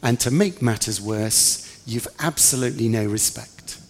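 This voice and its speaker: A man's voice: mid-range voice, moderate pace, creaky. A man playing a scornful, dismissive disciple who scolds an outsider in a dramatic story monologue. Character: scornful male disciple gruffly turning an outsider away. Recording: reverberant large hall.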